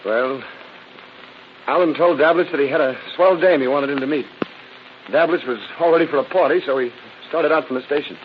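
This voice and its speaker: An actor playing an evasive, cornered crook under interrogation in a defensive, pleading 1930s radio style. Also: male voice, a little high, brisk, pauses often, close to an everyday voice. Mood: weary, reluctant. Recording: muffled, noisy vintage radio recording.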